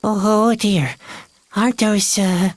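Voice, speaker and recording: A man's voice: sits high, fairly even intonation, slow pace, no echo. A man voicing a timid, gloomy young boy clown in an adventure game, musing in a hesitant, mournful way. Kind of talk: scripted adventure game dialogue. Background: music.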